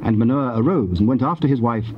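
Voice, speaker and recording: A man narrating scripture from a script in a solemn, formal, measured storytelling manner. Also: mid-range voice. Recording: dull, noisy old cassette tape.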